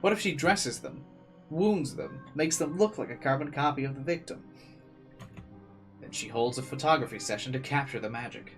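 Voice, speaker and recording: A man playing through a visual novel, reading the text aloud and adding casual commentary. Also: medium pitch. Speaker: let's player. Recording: faint game music behind.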